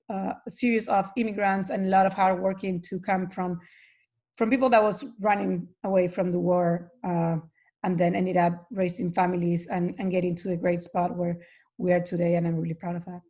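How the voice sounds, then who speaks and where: A woman's voice: mid-range voice, a little mellow. A woman from Argentina, speaking earnestly on an online panel and often restarting her sentences. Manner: earnest, halting, with frequent restarts. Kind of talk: casual group talk.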